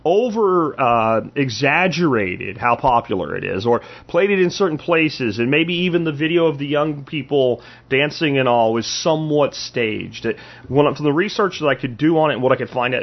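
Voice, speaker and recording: A man's voice: medium pitch, animated, normal speed, lo-fi sound. A man hosting a podcast, delivering an opinionated political monologue in an emphatic way, with rhetorical questions and repeated phrases. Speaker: podcast host.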